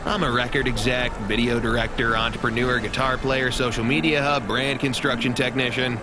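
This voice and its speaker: A man's voice: medium pitch, level tone, moderate pace, noisy background, natural voice. A man playing a brash, self-important music mogul in a video game, pompous and pushy. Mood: boastful. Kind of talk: video game dialogue acting.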